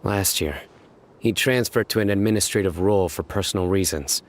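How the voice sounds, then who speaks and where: An actor playing a stern, guarded male investigator in a video game, speaking in a serious, measured, matter-of-fact way. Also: sits low, natural voice. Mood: grave, measured. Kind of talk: scripted video game dialogue.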